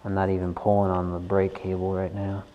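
A man's voice: low-pitched, completely flat delivery, a little fast, lo-fi sound. A man giving a casual hands-on tutorial, informally thinking aloud as he works in a garage. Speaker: bike mechanic host. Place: indoors in a large wooden workshop garage. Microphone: action camera worn on the body. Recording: echoey wooden garage with noticeable noise.

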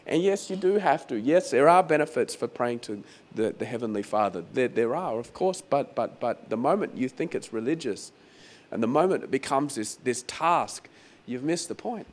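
Hoarse man preaching conversationally. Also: medium pitch, lively intonation, normal speed. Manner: conversational, repeats phrases for emphasis. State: hoarse, croaky voice. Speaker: pastor. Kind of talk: sermon. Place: venue hall during a church service.

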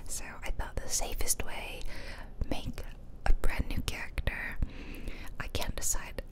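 A woman whispering chatty, playful ASMR commentary over a video game, close to the microphone. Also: a little slow. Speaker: host of an ASMR gaming channel. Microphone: close ear-to-ear ASMR microphone.

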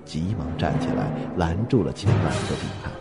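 A man narrating a suspense radio drama in an expressive storytelling style. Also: rumbling low, normal speed, vocal fry, loud music behind the voice.

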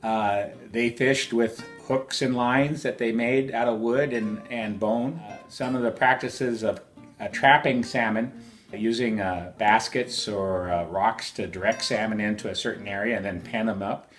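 An older commercial fisherman speaks in a low voice, pausing often with fillers as he answers questions. He sits indoors in an office, with quiet guitar music playing underneath. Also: fairly even intonation. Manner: hesitant, with frequent fillers. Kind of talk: interview answers.

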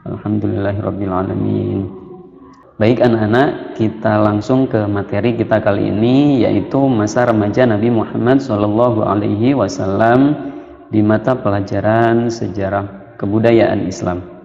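A young man, teaching children a religious history lesson in measured, short phrases. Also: on the low side, fairly even intonation, vocal fry, warm tone. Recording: muffled, echoey room with long reverb.

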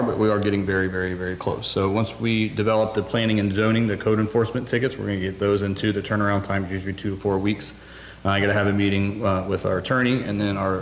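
A man giving a quick, businesslike report to a city council, with many fillers. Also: fairly low pitch. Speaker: city administrator. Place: wood-paneled council room, indoors. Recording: roomy meeting hall with faint noise.

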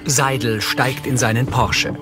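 A man reading scripted audio description for a TV crime drama, matter-of-fact and clearly articulated. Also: medium pitch, fairly even intonation, measured pace. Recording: TV soundtrack playing underneath. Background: loud music and vehicle sounds.

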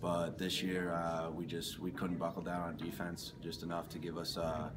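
Deep-voiced young captain in a hallway. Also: male voice, completely flat delivery, moderate pace. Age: young adult. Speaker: team captain. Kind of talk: postgame interview. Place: indoor hallway. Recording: distant mic with loud background noise.

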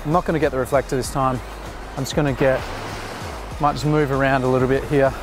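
A man in his thirties directing a beach photo shoot, relaxed and chatty, with many restarts and fillers, recorded outdoors at the shore. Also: medium pitch, quick pace. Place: open sandy beach at sunset, outdoors. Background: music.

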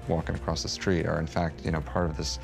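Man, deep creaky voice, fast, interview, music behind. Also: monotone.

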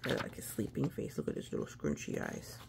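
A woman's voice: brisk, noisy background. A woman reading a children's story aloud with playful, exclamatory asides, talking as if to a young child. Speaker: picture book reader.

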